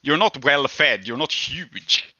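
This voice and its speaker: A man with a very bright, keen voice runs a tabletop game, explaining rulings conversationally. His pitch moves animatedly. The recording is dry and slightly dull. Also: expressive, slow pace, no room sound. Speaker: game master. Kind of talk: narrating and adjudicating a tabletop game, casual.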